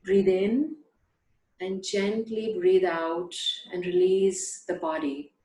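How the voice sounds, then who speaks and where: A woman guiding a breathing and letting-go meditation, giving slow, soothing instructions with long pauses. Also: lowish voice, mellow. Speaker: meditation guide. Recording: echoey room.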